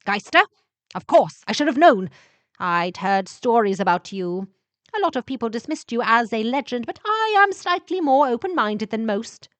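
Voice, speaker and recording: A woman's voice: on the high side, very animated, moderate pace, a bit bright, no room sound, pitched above the performer's own voice. A woman voicing a proud, somewhat arrogant male vampire professor who explains things emphatically in a sci-fi story.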